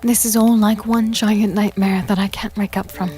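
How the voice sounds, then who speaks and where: A woman playing an anxious, devoted young companion in a dark fantasy drama, speaking earnestly and intimately, with a pleading manner. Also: medium pitch, flat delivery, normal speed, soft background music, natural voice. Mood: worried, hushed.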